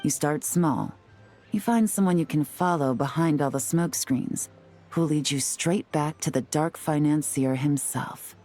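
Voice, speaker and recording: A shrewd, composed female investigator from a video game. She speaks in a low, even voice, explaining step by step with calm, measured control. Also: soft background music. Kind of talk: video game cutscene voice acting.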